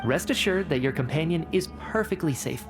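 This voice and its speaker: An actor playing a brisk, coolly rational scientist in a video game, articulate and clinical as he reports. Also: fairly high pitch, lively intonation, music in the background. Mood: apologetic, brisk. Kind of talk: video game cutscene acting.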